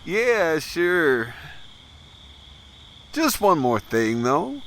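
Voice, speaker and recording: A man's voice: fairly high pitch, big pitch swings, a bit bright, a little hiss or hum, exaggerated voice. An actor playing a cruel, menacing racist predator in an audio drama, drawling and mocking as he threatens. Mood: creepy false friendliness. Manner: taunting, drawling, slow menace. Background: crickets and night insects.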